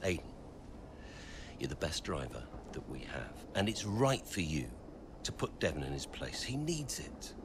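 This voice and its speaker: A middle-aged racing team owner in a game cutscene, with a low voice that moves in lively swings. He is smooth, placating and coaxing, with clear ambient noise behind him. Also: a little slow, exaggerated voice. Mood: coaxing, confiding. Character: persuasive, smooth-talking middle-aged father who owns a racing team. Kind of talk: video game cutscene acting.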